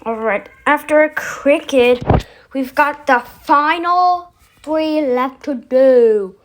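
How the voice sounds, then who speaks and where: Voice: sits high, slow. A video host giving casual vlog commentary, rambling and thinking aloud.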